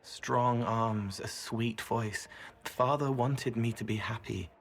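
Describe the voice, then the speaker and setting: An actor playing a gentle, otherworldly young man in a video game, speaking in cryptic, poetic fragments. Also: medium pitch, steady tone, slow pace, gravelly. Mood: wistful.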